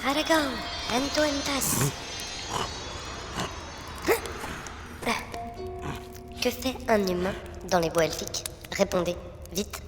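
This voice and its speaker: An actress playing a wary, commanding elf sorceress and warrior in a fantasy audio drama. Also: female voice, mid-range voice, big pitch swings, normal speed, close to an everyday voice. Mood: urgent, suspicious. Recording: long cave-like reverb under loud music.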